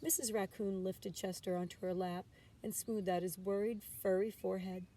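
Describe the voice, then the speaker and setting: A woman reads a picture book aloud in an expressive storytelling style. Her tone is mellow and her pitch sits in a middle range. She sounds slightly far from the microphone, with faint background noise. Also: normal speed. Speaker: teacher reading a storybook aloud. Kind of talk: expressive children's storybook narration. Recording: distant mic with background noise.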